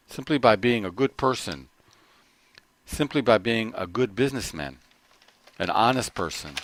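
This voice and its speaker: A middle-aged man giving an earnest personal testimony, often halting and restarting his phrases. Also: lowish voice, dry recording. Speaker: corporate trainer.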